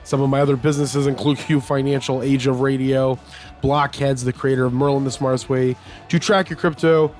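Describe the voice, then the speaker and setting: Slightly raspy man rambling on a podcast. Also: mid-range voice, steady tone, a little fast, a little mellow, faint music behind. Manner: rambling, many fillers and restarts. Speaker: podcast host. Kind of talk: casual solo podcast monologue.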